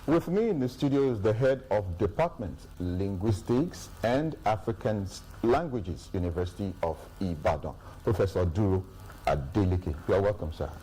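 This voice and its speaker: A man with a Nigerian accent presenting the news in a formal, deliberate way and questioning a studio guest. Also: fairly low pitch, lively intonation, mellow, husky, rough recording. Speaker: news anchor.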